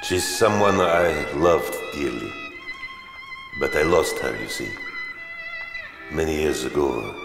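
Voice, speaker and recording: A low-voiced mad scientist in an audio drama, softly menacing and unhinged. His halting, courteous delivery swings widely in pitch, and rings through an echoing hall. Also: huge pitch swings, heavily acted. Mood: wistful, tender. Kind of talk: full-cast audio drama acting. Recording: echoey hall, faint music behind.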